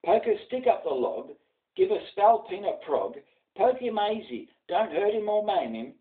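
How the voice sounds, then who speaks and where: Man acting a high-pitched jocular Irish priest, distant lo-fi recording. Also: sing-song, moderate pace. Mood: sly, coaxing. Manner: exclaiming, theatrical. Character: jocular rural Irish priest, scheming and loud. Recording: dull lo-fi sound, distant mic.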